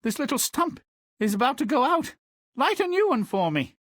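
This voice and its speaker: A man's voice: very high voice, sing-song, moderate pace, fairly bright tone, no room sound, full character voice. A man playing a cocky young godson turned physician in a fairy-tale video game, glib and eager. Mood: anxious.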